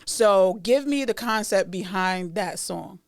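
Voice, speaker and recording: An encouraging podcast host leads the chat, often repeating the guest's answers back. The voice is smooth and crisp at a middling pitch. The sound is close and dry. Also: takes it slowly, dry recording. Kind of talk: casual interview.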